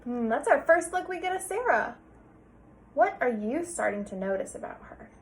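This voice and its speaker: Animated, high-voiced woman teacher reading aloud. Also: moderate pace. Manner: expressive, explanatory, prompting with questions. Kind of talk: picture-book read-aloud with questions to young listeners.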